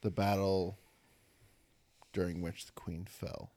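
A woman's voice: extremely low pitch, little pitch movement, slow, warm tone. A woman co-hosting a podcast, playful and dramatic in casual chat. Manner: playful, dramatic, swings her voice for jokes. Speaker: podcast co-host.

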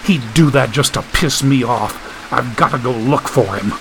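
A man's voice: medium pitch, normal speed, vocal fry, slight background noise, natural voice, low-quality recording. A man voicing an irritable, cynical, foul-mouthed man who speaks bluntly and snappishly. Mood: irritated.

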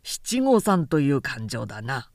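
A middle-aged samurai elder brother, acted by a woman reader, talks in a lowered, swooping voice. He is pompous and long-winded, lecturing with great self-importance in a storybook tale. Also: sing-song, a little slow, a little mellow, dry recording, clean studio sound, exaggerated voice. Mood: chatty, self-satisfied.

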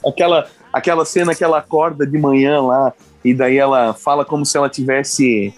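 A man's voice: mid-range voice, steady tone, moderate pace, faint music behind. A man in casual podcast chat, enthusiastic and slangy. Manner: enthusiastic, slangy, laughs often.

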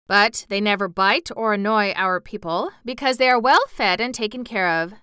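A woman voicing a kindly, anxious emperor made of tin in a storybook, speaking formally and earnestly in a measured way. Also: mid-range voice, sharp tone, smooth, dry recording, studio quality, natural voice. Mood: courteous, gentle. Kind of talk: storybook narration character voice.

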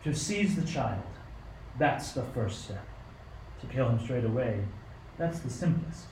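A commanding, scheming old king of radio drama, acted by a man. He speaks in a mellow, deliberate, regal way. The sound is roomy and a little distant, with faint noise behind it. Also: medium pitch, animated, close to an everyday voice. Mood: bitter, plotting. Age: older. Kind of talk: amateur radio play acting. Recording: roomy, distant mic with background hiss.

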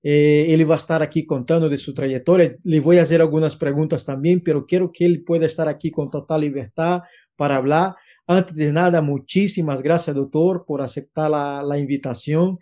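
A man's voice: a little high, steady tone, normal speed, dark tone. A man hosting a podcast interview, formally welcoming and questioning his guest. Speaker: podcast host.

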